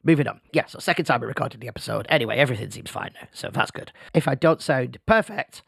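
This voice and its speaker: A man's voice: mid-range voice, lively intonation, fast, gravelly, fairly bright tone, slightly rough, no room sound, clean studio sound. A man who is losing his voice, hosting a casual solo podcast in a self-deprecating, rambling way. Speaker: podcast host. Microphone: podcast microphone.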